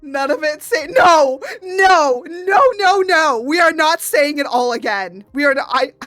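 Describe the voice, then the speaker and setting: A young woman streaming, her voice hoarse from laughing, breaking into giggles as she chats. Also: high-pitched, a little slow, a bit bright, dry recording, faint music behind. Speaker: VTuber artist streamer. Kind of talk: casual live stream chat.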